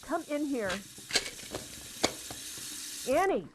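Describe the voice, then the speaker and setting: A woman's voice: sits high, sing-song, extremely slow, noisy background, heavily acted. A woman acting in an audio drama as an ailing, pill-dependent mother, impatient and evasive, with a coaxing way of talking. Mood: agitated.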